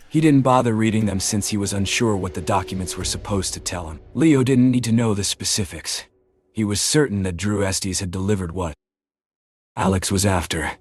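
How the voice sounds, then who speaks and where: A man narrating a mystery audiobook in a measured storytelling way. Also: fairly low pitch, darkish tone. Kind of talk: scripted narration.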